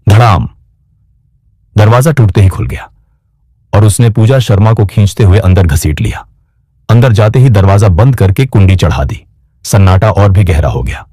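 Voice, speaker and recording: A man's voice: fairly low pitch, mellow, dry recording, studio quality. A man narrating a scripted story in a dramatic style as a storyteller.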